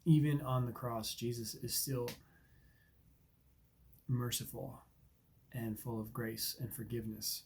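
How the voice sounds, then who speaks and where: A man's voice: medium pitch, flat delivery, unhurried, pauses often, a little mellow, slight background noise. A man hosting a daily Bible reading, earnest and reflective, sniffling from allergies. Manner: earnest, reflective, with many ums and uhs. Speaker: Bible reading podcast host. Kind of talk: devotional commentary and scripture reading.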